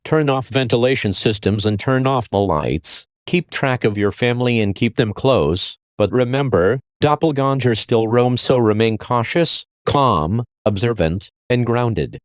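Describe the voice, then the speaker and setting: A man performs as an emergency alert announcer in an audio drama. The delivery is measured, impersonal and grave, in a middle-pitched voice with a dry, studio-like sound. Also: expressive, no echo, close to an everyday voice. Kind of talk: scripted emergency broadcast announcement.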